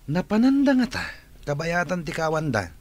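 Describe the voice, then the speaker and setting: An inquisitive man in a radio drama. His voice is high for a man and swings widely as he questions. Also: big pitch swings, normal speed. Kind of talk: radio drama acting in Ilocano.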